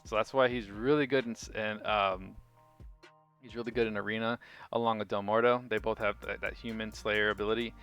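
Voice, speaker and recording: A man's voice: on the low side, fairly even intonation, a little fast, a bit bright, smooth, faint music behind. A man giving a casual game guide commentary as a video host, chatty and informal, thinking aloud as he goes.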